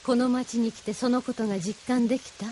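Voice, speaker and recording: A woman's voice: fairly high pitch, steady tone, a little fast, mellow, slight background noise, natural voice, low-quality recording. A woman playing an idealistic, solemnly persuasive mentor in a Japanese audio drama, with a didactic, feminine way of speaking. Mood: pensive.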